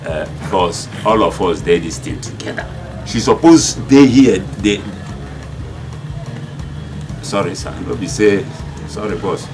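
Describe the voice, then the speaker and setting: A man with a Nigerian accent playing an excitable, deferential gang underling in a film drama. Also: low-pitched, slow pace, long pauses. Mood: nervous. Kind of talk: film drama dialogue in Pidgin English. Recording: distant mic with music behind.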